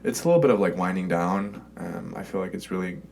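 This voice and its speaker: A young man who grew up in Sweden, a college swimmer guest chatting casually in an interview, relaxed and full of "like" and "you know". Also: low-pitched, quick pace, gravelly, slight background noise. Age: young adult.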